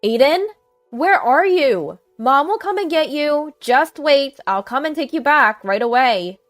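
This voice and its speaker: A woman voicing a possessive, indignant young mother who shouts defiantly in a narrated story. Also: sits high, expressive, a little slow, dry recording, faint music behind, pitched above the performer's own voice. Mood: frantic.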